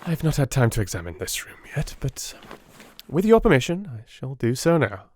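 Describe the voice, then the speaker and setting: A man voicing a brilliant gentleman detective in a dramatised audiobook, with a probing, smoothly polite and amused manner. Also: mid-range voice, big pitch swings, moderate pace, slight background noise, close to an everyday voice. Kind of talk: dramatic audiobook character acting.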